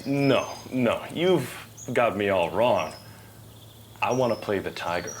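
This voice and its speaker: A man's voice: on the low side, animated, takes it slowly, a little hiss or hum. A man playing a charming, eager, self-assured faded Hollywood star in an audio drama. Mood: confident.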